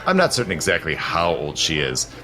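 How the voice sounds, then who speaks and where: An actor playing a suave, teasing 19th-century gentleman in an audio drama, urbane and theatrical in manner. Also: rumbling low, wildly swooping pitch, a little fast, heavily acted. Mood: confiding, playful. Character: suave, teasing immortal gentleman protector, secretly seventy-two. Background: faint music.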